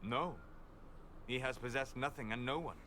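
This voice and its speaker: An actor playing a calm, learned renegade mage who explains things in a measured, precise way for a video game. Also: male voice, mid-range voice, a little hiss or hum, natural voice. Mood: calm, scholarly.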